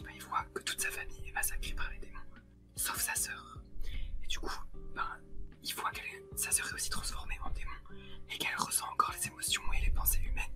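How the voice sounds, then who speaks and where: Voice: very high voice, rough recording. A young adult whispers a casual anime review as co-host, using informal youth slang. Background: music.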